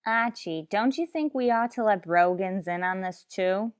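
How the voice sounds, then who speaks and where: A sensible woman of the tavern played by an actress in an old-time radio comedy. Her medium-pitched voice carries a dry, reasonable wit. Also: normal speed, clean tone, close to an everyday voice. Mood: reasonable, wry. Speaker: actor in a comedy radio play.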